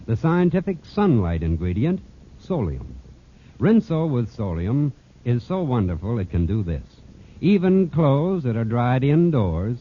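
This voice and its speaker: A male radio announcer reading a scripted 1940s commercial in a persuasive, emphatic way. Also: medium pitch. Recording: tinny, noisy vintage radio recording.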